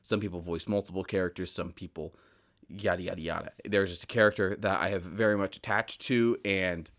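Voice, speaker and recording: A man's voice: low-pitched, steady tone, a little fast, a bit bright. A man hosting a solo podcast in a casual, candid, rambling monologue, often interrupting and correcting himself. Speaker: podcast host, audio drama writer.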